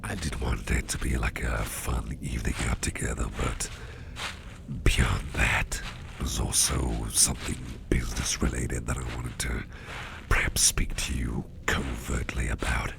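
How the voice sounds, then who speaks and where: A man playing a mischievous businessman boss in an intimate ASMR roleplay, murmuring in a halting, gravelly half-whisper. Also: rumbling low, level tone, full character voice. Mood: confiding, covert. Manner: slow, halting, repeating phrases, softly chuckling. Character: mischievous, toothy businessman boss, affectionate and covert.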